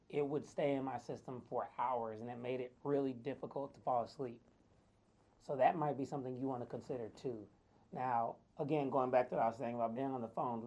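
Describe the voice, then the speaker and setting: A man with a raspy voice, tired from too little sleep, talking casually to viewers with lots of fillers. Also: medium pitch, darkish tone, dull audio. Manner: chatty, loose, lots of fillers. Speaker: wellness vlogger. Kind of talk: casual talk to camera.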